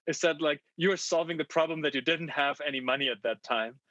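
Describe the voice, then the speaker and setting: A man in his thirties hosts a fireside chat over a video call, friendly but halting, stumbling through restarts and "um"s. His voice sits at an ordinary pitch, and the sound is dry and a bit muffled. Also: bright, forceful tone, dry recording. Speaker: moderator. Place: home room.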